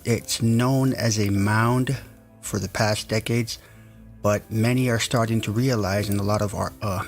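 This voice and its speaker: A man of Samoan descent hosting a stream, chatting casually to his viewers with lots of fillers. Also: on the low side. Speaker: stream host.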